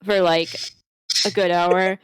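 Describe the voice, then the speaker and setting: A woman's voice: lowish voice, little pitch movement, slow pace, smooth, no room sound, poor audio. A young woman with an East Coast American accent, chatting casually with friends over a voice call and swearing offhandedly. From East Coast of the United States. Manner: blunt, swears casually, tired. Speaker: podcast co-host. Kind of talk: casual chat among friends. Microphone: own mic on a voice call.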